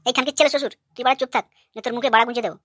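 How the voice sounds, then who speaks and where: A woman's voice: on the high side, fairly even intonation, very fast, fairly bright tone, no room sound. A woman voicing a hot-tempered, aggrieved young son-in-law who rants brashly in a cartoon comedy. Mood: angry, ranting. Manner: brash, ranting.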